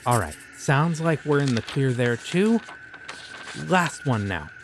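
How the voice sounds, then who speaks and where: A man voicing a friendly but creepy young occult shopkeeper in an audio roleplay, talking in a casual, easygoing way about dark rituals. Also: medium pitch, very animated, slow pace, slight background noise. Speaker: voice actor.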